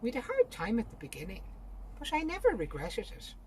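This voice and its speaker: A woman's voice: on the high side, wildly swooping pitch, fairly quick, a little mellow, slight background noise, voice pushed up. A woman voicing a wistful, wounded married daughter who is proud yet pleading. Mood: defiant.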